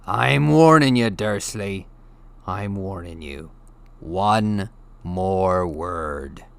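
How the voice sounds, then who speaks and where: A male narrator plays a huge storybook giant, gruff yet kindly. He talks in a folksy, rustic way with loose grammar. His delivery is emphatic and full of weight. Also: deep voice, drawn-out words, exaggerated voice. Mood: threatening. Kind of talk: audiobook character voice.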